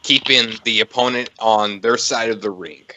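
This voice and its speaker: A man's voice: lowish voice, moderate pace, sharp tone, slight background noise, poor audio. A man co-hosting a podcast, talking casually and emphatically about wrestling and giving his opinions. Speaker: podcast co-host.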